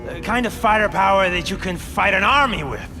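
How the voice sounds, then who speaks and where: An actor playing a nervous, stammering gang boss in a video game, boastful one moment and panicky the next. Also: male voice, high-pitched, brisk, creaky. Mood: anxious. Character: jittery male gang boss with a power suit. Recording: game audio with reverb and music.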